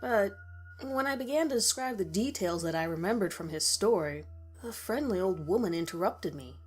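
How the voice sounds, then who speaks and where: A woman's voice: medium pitch, expressive, normal speed, slightly rough. A woman reading a scripted horror story in the first person as its narrator. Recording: music behind the voice. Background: background music.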